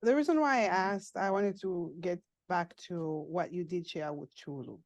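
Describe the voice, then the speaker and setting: A woman's voice: lowish voice, darkish tone, no room sound. Chatty woman podcast host. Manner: chatty, quick, laughs while asking. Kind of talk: interview conversation.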